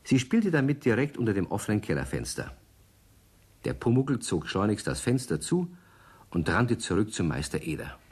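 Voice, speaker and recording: A man's voice: fairly low pitch, very animated, moderate pace, a little mellow, breathy edge. A man narrating a children's radio play as a storyteller, reading from a script. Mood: vivid storytelling. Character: male storyteller of a children's tale.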